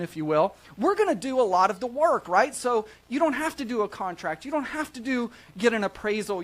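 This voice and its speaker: A man presents a live studio talk show as its host. He speaks in an upbeat, sales-pitch manner, mixing casual banter with explanation and punctuating his points with short check-in questions. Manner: upbeat salesman-like, repeated "right?" tags. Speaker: host of a real estate show, realtor. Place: small studio set at a desk.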